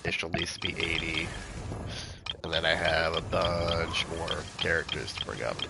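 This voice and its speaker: A male streamer with a stuffy nose, thinking aloud in relaxed gameplay commentary, with game music behind him. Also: deep voice, measured pace, gravelly, heavy background noise.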